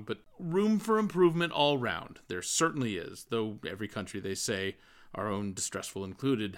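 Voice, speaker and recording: A man's voice: mid-range voice, a bit bright. A man voicing an earnest, mild-mannered middle-aged man in an audiobook, wordy and moralizing as he tries to reason and persuade. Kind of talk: literary audiobook dialogue.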